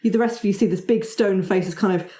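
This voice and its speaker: A woman's voice: medium pitch, fairly even intonation, quick pace, warm tone. A woman narrating an improvised tabletop game as game master. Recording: roomy echo.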